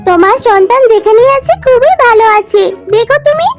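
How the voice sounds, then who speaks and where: A woman's voice: very high voice, animated, brisk, voice pushed up. A woman voicing a stubborn, affectionate young village girl in a children's cartoon, earnest and a little whiny. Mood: concerned. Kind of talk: children's fairy-tale cartoon acting. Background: music.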